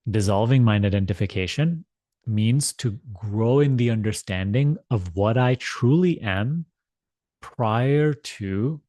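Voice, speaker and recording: A man with a fairly low voice leads a guided meditation in a dry, close sound. He is measured and deliberate, often repeating a phrase and leaving space between thoughts. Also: animated, mellow, no echo. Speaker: meditation teacher leading a guided meditation. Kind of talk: guided meditation instruction, spiritual talk.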